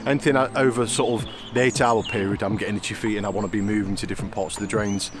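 A man's voice: on the low side, steady tone, rapid-fire, over music. A man narrating his own fishing trip outdoors by a drain, chatty and easygoing, often repeating himself. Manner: chatty, repeats "it really does". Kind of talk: casual outdoor vlog narration. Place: outdoors on a fenland drain bank.

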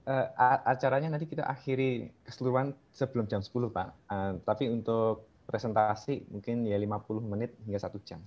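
A man's voice: medium pitch, steady tone, brisk, a little mellow. A man politely moderating an online lecture in an informal way, speaking through a headset on a video call. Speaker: moderator of a webinar. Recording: narrow, dull video-call audio.

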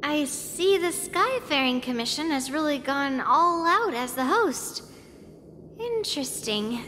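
Self-assured little swordswoman, anime game, instructive, animated pitch, reverberant. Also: unhurried, slight background noise, heavily acted. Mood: intrigued. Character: small, self-assured girl swordswoman, coaching a rival. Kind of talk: anime-style video game voice acting. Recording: long reverb, music behind. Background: music.

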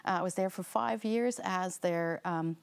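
A woman in her forties, from the Netherlands, speaks to a seated audience on a studio stage in a slightly low voice. She talks in a loose, conversational way, full of ums and restarts, through what sounds like a lapel mic. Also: a little slow, vocal fry, smooth. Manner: conversational, with frequent fillers and restarts. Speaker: guest speaker. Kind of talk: seminar talk to a live audience.

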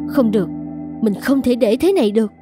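A woman's voice: a little high, animated, a little fast, warm tone, faint music behind, close to an everyday voice. A woman playing a firm, polite young mother in a scripted cartoon, reasoning earnestly. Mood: reflective.

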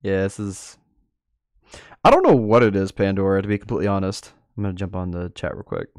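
A man's voice: low voice, expressive, brisk, no room sound, studio quality. A young man hosting a livestream, giving casual live reaction commentary and bantering with his chat. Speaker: livestream host. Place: indoor room at a desk, livestream. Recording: music behind the voice.

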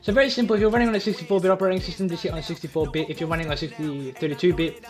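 A man's voice: on the high side, fairly even intonation, very fast, soft background music. A young man hosting a casual tutorial in a chatty, eager, repetitive way. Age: young adult. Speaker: YouTube tutorial host.

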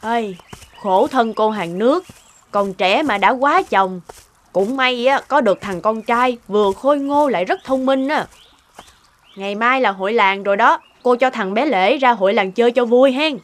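Actress doing nosy friendly older village auntie, cartoon folktale, slightly high voice, gossipy. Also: female voice, moderate pace, natural voice. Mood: chatty. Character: chatty, sympathetic older village neighbour woman. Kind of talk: animated folktale dubbing.

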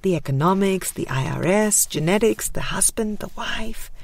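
A woman reading a spiritual book aloud as scripted narration, in a measured, deliberate way with pauses. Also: mid-range voice, animated, vocal fry, no echo. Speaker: audiobook reader.

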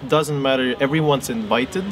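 A man in his thirties who grew up in Norway, explaining things in a measured, hesitant way outdoors on a city street. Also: medium pitch, a crowd in the background. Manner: measured, hesitant with many uhs. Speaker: local guide, co-host. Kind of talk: casual explaining chat. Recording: outdoor street noise behind.

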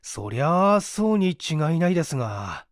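A weary young man from a mystery story , acted out by a male reader. He speaks with courteous hesitation and gets flustered easily. His voice is lightly pitched and lively, recorded close and dry. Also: normal speed, dry recording. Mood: awkward, polite. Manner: polite, hesitant. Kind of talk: dramatized audiobook reading.

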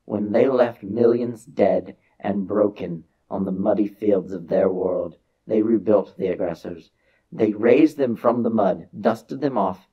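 A man voicing a grave old alien elder who recounts a war to children in a dramatic science fiction reading, with deliberate theatrical pauses. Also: on the low side, husky, lo-fi sound. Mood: solemn, stern.

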